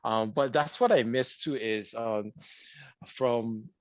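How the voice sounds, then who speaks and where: A man's voice: mid-range voice, no room sound, lo-fi sound. Rambling man. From Hawaii. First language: Hawaiian. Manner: thoughtful, rambling, many pauses and restarts. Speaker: podcast co-host. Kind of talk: casual podcast chat.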